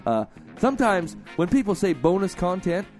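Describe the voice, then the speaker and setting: Male radio host, animated and loud, playful, with instrument music clear behind him. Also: high voice, moderate pace. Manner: loud, playful, jokes and laughs. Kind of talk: casual radio banter, reading listener advice.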